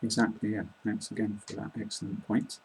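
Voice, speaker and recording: A man's voice: on the low side, monotone, brisk, creaky, background noise. A British man in his twenties hosting an informal interview, polite and explanatory, recorded on a laptop webcam in a small room. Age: 20s. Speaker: host, English teacher. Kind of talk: casual interview chat.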